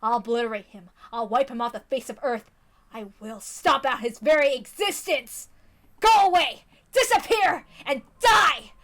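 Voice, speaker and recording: A woman acts out a teenage boy seething with vengeful rage. The voice is fairly high for the part, and the delivery is intense, menacing and theatrical, like a visual novel monologue. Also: moderate pace, fairly bright tone. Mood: furious.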